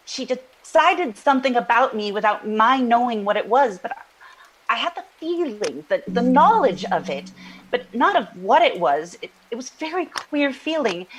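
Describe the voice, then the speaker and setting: A halting, high-voiced troubled dreamer. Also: female voice, low-quality recording. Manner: halting, searching for words, repeats herself. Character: troubled woman earnestly recounting an eerie dream. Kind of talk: audio drama acting, naturalistic interview.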